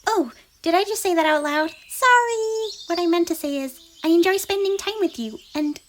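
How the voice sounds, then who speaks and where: A woman's voice: very high voice, moderate pace. A woman voicing a bashful, giddy, affectionate young unicorn pony in an ASMR fan fiction story. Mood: flustered affection. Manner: giggly, excitable, dropping into hushed muttering. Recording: clearly noisy, birdsong behind. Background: birdsong and outdoor ambience.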